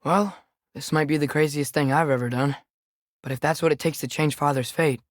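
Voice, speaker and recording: A man's voice: on the high side, steady tone, brisk, dry recording, studio quality, natural voice. A young male actor playing an earnest, restless teenage boy adventurer with an American accent in a video game. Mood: resolved, uneasy. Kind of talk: video game character dialogue.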